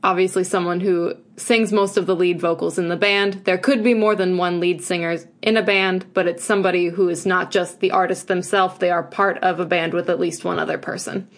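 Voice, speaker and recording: A woman's voice: on the low side, level tone, brisk, few pauses. A woman co-hosting a podcast in casual chat, gushing and chatty, with lots of filler "like"s. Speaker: podcast co-host.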